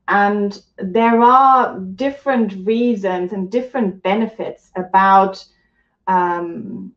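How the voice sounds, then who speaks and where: A woman's voice: mid-range voice, slow pace, roomy sound, lo-fi sound. A woman from Germany teaching yoga on a live stream, chatting casually and reading out viewer comments.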